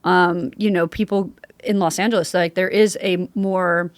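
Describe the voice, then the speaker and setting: A woman's voice: lowish voice, steady tone, moderate pace, no room sound, studio quality. A woman from the US South hosting a podcast, speaking in an earnest, affirming, conversational way. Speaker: podcast host. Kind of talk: conversational interviewing.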